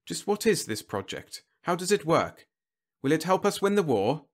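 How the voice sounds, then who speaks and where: A man's voice: a little high, very animated, moderate pace, voice pushed up. A male narrator plays a meek, miserable government official in an audiobook. He sounds hesitant and plaintive, with a querulous, tart edge.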